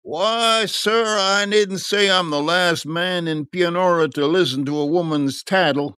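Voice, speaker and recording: A man's voice: high voice, a little slow, bright, forceful tone, smooth, dry recording, studio quality, voice pushed up. A man voicing a gossipy, credulous, self-important townsman in an audiobook, earnest and insistent. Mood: earnest gossip. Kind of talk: audiobook character acting.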